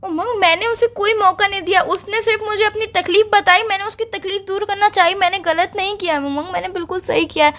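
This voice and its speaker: A woman's voice: extremely high pitch, steady tone, fast talker, few pauses, fairly bright tone. A woman playing a defensive young girlfriend accused of cheating in a dramatized breakup phone call, earnestly and insistently pleading to be believed.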